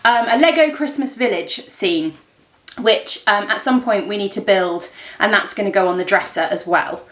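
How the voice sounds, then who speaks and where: A middle-aged woman talks as if to friends in a casual daily vlog. Her voice sits at an ordinary pitch, and she wanders informally through her thoughts as she speaks. The sound is roomy, with clear echo from an indoor space at home. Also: normal speed, fairly bright tone. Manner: informal, rambling, thinking aloud. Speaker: vlogger and host of a daily family and craft vlog. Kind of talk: casual chatty vlog to camera. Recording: roomy, echoey indoor room.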